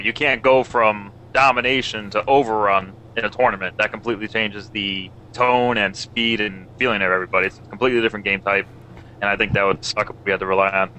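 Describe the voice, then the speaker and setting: A man in a casual online voice chat, talking loudly and eagerly about a game's competitive modes. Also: fairly low pitch, slight background noise, poor audio. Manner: loud, enthusiastic, talks in long runs. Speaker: competitive gamer in a stream discussion. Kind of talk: casual group chat over voice call.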